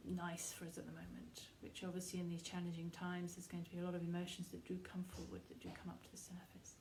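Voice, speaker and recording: Soft lowish mellow woman doing guided meditation, soothing, pauses a lot, bit of background noise. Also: monotone, creaky, low-quality recording. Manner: soothing, reassuring, pausing between phrases.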